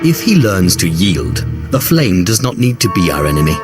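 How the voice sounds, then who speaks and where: Low-voiced, self-assured Sith lord. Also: male voice, sing-song, moderate pace. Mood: firm. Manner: cool, terse, self-assured. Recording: game music behind the voice.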